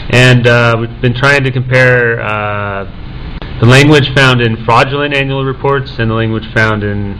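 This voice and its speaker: A young man speaks on a conference panel, stopping often and filling the gaps with "uh". His voice sits at a middle pitch, with a faint hiss of background noise under it. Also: fairly even intonation, a bit bright, rough recording. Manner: halting, many "uh" fillers and restarts. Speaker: panelist, assistant professor.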